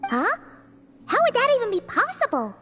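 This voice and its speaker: A woman voicing a tiny childlike fairy companion in a video game, squeaky, chatty and expressive. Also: moderate pace, exaggerated voice. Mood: worried, puzzled. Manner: chatty, expressive, refers to self in third person. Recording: loud background music.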